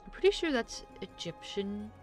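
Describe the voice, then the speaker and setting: A woman's voice: mid-range voice, sing-song, normal speed, a little mellow, faint music behind. A woman streaming a game, giving casual, offhand commentary as if half talking to herself.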